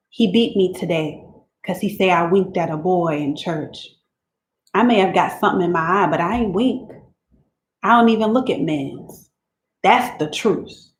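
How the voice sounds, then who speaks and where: A woman voicing a weary, abused teenage country girl who confides in God, speaking in a plain rural dialect, quiet and resigned. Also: medium pitch, lightly acted. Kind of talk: dramatic reading of letters. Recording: roomy room echo.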